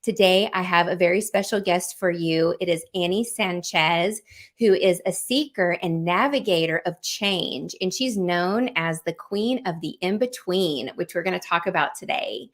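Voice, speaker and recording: A woman's voice: fairly low pitch, moderate pace. A woman hosting a business podcast, reading an upbeat intro and asking interview questions with enthusiasm. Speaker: podcast host.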